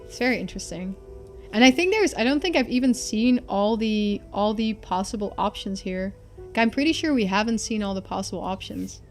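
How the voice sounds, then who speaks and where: A woman's voice: medium pitch, lively intonation, brisk, faint music behind. A woman streaming a game, informally thinking aloud and reading the narration. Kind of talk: casual game commentary and read-aloud narration.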